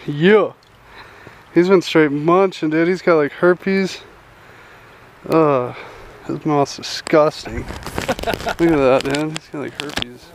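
A young man hosting a casual fishing vlog outdoors at a rural pond, chatty and excited, calling everyone "dude" and "guys", recorded on a head-mounted action camera. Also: a little high, slow, long pauses, a little hiss or hum, rough recording. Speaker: fishing channel host.

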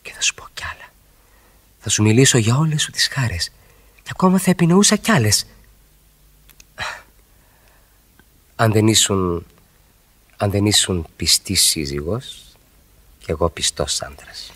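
A man acting in a radio drama as a smitten middle-aged married man, ardently and theatrically flattering a female friend, repeating phrases for effect. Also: on the low side, long pauses, breathy edge. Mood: amorous, ardent.

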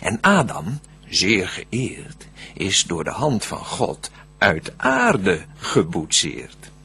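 Husky devil guy scheming in an old verse radio play, slow-ish, very theatrical swoopy delivery. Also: deep voice, wildly swooping pitch, pushed and bright, full character voice. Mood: calculating. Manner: theatrical, scheming, deliberate declamation. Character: cunning, smooth-tongued male devil plotting to tempt mankind. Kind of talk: Dutch verse radio drama acting.

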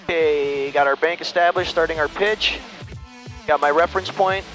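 Middle-aged man, pilot, measured cockpit callouts, slightly high voice. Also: soft background music. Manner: calm, steady step-by-step callouts. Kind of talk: calling out flight maneuvers. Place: small plane cockpit in flight. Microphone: aviation headset mic.

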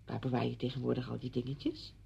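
An actress playing a chatty, meddling woman visiting an old friend in a Dutch radio play, brisk and insistent in manner. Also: extremely low pitch, flat delivery, mellow, highs cut off, full character voice. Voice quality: husky, creaky.

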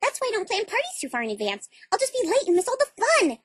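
A woman voicing a bubbly, scatterbrained young female pony in a cartoon dub, excitable and naive. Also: very high voice, fast, no echo, exaggerated voice. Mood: chirpy. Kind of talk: cartoon fandub acting.

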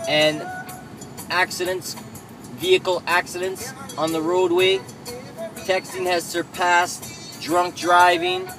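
A man's voice: high-pitched, rough recording. A man in his thirties ranting indignantly while driving, filmed on a dashboard camera inside his car. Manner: indignant, lecturing rant. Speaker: vlogger. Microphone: camera mounted on the dashboard.

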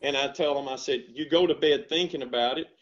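Older Southern farmer, folksy storyteller, headset call audio, slightly dull and lo-fi. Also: male voice, medium pitch, a little fast. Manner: animated storytelling, earnest and folksy. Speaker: dairy farmer and ice cream shop owner. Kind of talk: casual interview over video call. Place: home room with bookshelves. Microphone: headset on video call.

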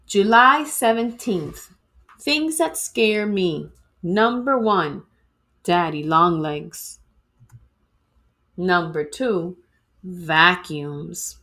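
A woman reading a children's storybook aloud in an expressive, dramatic way, like a teacher to students. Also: lowish voice, extremely slow, pauses often.

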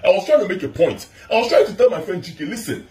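A man's voice: high voice, big pitch swings, fast talker, a little mellow. Insistent Nigerian man with a big voice. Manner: insistent, repeats his questions. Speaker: husband in a couple video. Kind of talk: heated domestic argument. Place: indoors at home. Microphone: phone camera in a split-screen video. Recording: echoey, from a distance.

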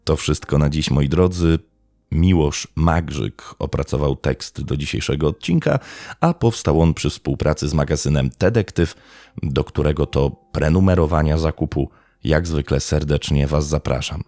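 A man narrating a scripted true crime story as podcast host, in a measured, dramatic storytelling manner. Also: a bass voice, warm tone, dry recording, studio quality.

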